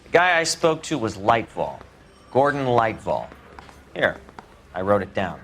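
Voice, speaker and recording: An actor playing a matter-of-fact police technician in a video game, brisk and informative. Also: male voice, on the low side, big pitch swings, fairly bright tone, a little hiss or hum, full character voice. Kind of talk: scripted video game dialogue.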